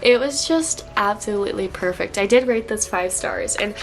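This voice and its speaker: A woman's voice: mid-range voice, normal speed, rough recording. Young woman, congested and stuffy, bubbly casual book-review vlogger. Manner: upbeat, gushing, frequent fillers.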